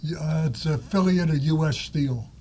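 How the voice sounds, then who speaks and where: An older man with a New York accent who speaks haltingly, with many fillers. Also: on the high side, a little mellow, slight background noise. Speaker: guest, lender. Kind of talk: interview answers.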